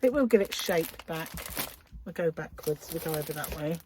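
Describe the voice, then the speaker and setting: A woman chatting casually to followers as a craft vlogger during an unboxing, gushing and admiring with breathy asides. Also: low-pitched, animated, normal speed. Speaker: craft vlogger and yarn shop owner. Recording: clear background noise with crinkling wrappers. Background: crinkling cellophane, clicks and rustling.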